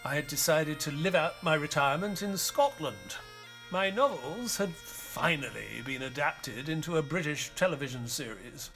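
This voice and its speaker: An actor playing an elderly retired doctor and author in an audio drama, narrating in a measured, solemn, wistful way. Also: male voice, fairly high pitch, expressive, music in the background. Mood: reflective, solemn. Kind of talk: dramatic first-person scripted narration.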